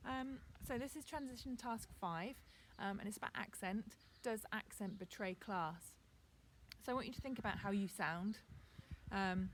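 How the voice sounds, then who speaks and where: A woman teacher with a received pronunciation accent gives a chatty, relaxed video lesson outdoors in her garden, with frequent ums. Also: medium pitch, background noise.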